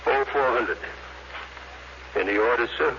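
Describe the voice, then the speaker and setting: A man's voice: mid-range voice, measured pace, lightly acted. An actor playing a deferential, uneasy crewman in a 1950s radio drama, talking plainly and respectfully to a superior. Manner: respectful, plain-spoken military address. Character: deferential, uneasy male crewman reporting to his captain. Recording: muffled, noisy old radio recording.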